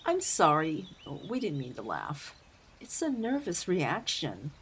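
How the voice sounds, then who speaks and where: A woman plays a flustered, deferential young mouse in an audio drama. Her pitch swoops wildly and her pace drags, with faint birdsong behind. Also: huge pitch swings, slow pace, a bit bright, a little hiss or hum, exaggerated voice. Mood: apologetic. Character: nervous, apologetic young female mouse, eager to please. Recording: faint outdoor ambience with birdsong.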